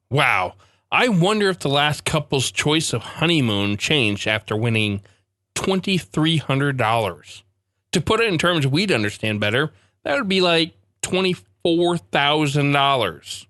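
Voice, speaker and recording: Animated man hosting a podcast. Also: fairly high pitch, no echo, studio quality. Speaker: podcast host. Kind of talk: scripted podcast commentary. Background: faint music.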